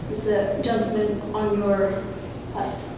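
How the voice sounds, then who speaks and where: A woman speaking as a professor hosting a student discussion, informal and halting as she searches for words. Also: medium pitch, monotone. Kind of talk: informal spoken introduction to a guest. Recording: distant, very echoey room, noisy.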